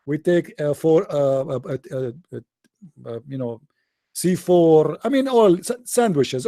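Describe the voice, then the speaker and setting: Man, sarcastic debater, high voice, dry close sound, mocking asides. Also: mellow, no room sound. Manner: sarcastic, joking, mock-serious. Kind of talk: live online debate, reading and mocking commentary.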